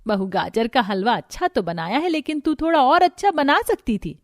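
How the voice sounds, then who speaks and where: A woman's voice: a little high, normal speed, no echo, studio quality, lightly acted. A woman voicing a rich, sly, demanding older mother-in-law in a cartoon story, bossy and falsely sweet. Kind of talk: Hindi cartoon story dialogue.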